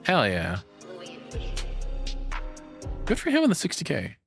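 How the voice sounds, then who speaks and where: A man's voice: a little high, wildly swooping pitch, takes it slowly, vocal fry, fairly bright tone, soft background music. A man giving casual, off-the-cuff reaction commentary as a streamer, rambling and blunt.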